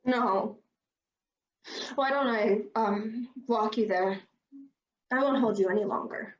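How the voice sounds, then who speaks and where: A woman plays a distraught young woman in an amateur drama, in a high, slow voice. Her delivery is halting, emotional and apologetic, recorded with dull, roomy sound. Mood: awkward. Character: distraught young woman fleeing a broken relationship. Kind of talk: fan-made drama acting over video call.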